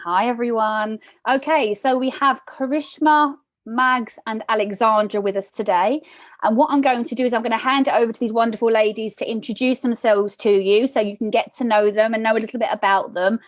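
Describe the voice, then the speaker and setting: A woman's voice: fairly high pitch, fairly even intonation, fairly quick. A woman hosting a podcast interview, welcoming her guests in an upbeat way. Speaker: podcast host.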